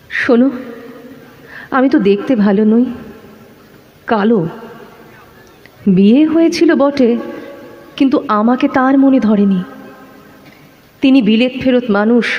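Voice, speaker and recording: A woman playing an unhappily married lover in an audio drama, speaking in a measured, wry, resigned way. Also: on the high side, darkish tone. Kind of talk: Bengali audio drama dialogue. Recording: dull lo-fi, faintly noisy.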